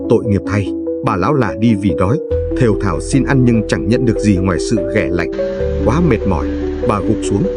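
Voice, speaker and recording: A man's voice: fairly low pitch, brisk, loud music behind the voice. A man narrating a folk tale as a scripted story, in a solemn, emotive and dramatic manner.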